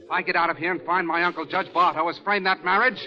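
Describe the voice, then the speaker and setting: An actor playing a nervous, reluctant young convict in an old radio crime drama, hesitant and jittery. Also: male voice, high voice, fairly even intonation, fast, natural voice. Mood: reluctant, frightened. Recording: muffled old radio recording.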